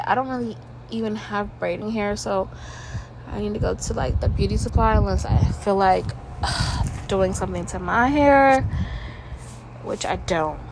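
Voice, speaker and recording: A woman's voice: mid-range voice, noisy background. A young woman with a stuffy nose, rambling casually at home as a podcast host, tripping over her words and swearing now and then.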